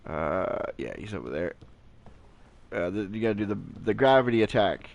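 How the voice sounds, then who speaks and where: A man with a naturally deep voice giving relaxed, rambling gameplay commentary with many fillers, recorded at home on a cheap gaming headset mic. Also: normal speed, vocal fry. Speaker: gaming channel host. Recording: low-quality headset mic, faint hiss.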